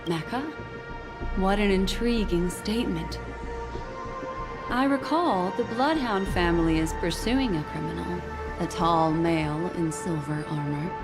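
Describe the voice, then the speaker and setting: A composed, enigmatic woman guide from a video game, with a lowish voice. She speaks deliberately and shrewdly, as if weighing each secret, over clear background music. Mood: intrigued. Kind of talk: scripted video game dialogue.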